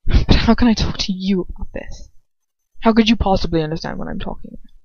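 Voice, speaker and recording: A woman's voice: medium pitch, fast, darkish tone, no room sound, lightly acted. A woman voicing a cruel, proud, hot-tempered aristocratic wizard, bitter and venomous, in a storybook reading. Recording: dull, lo-fi, dry home recording.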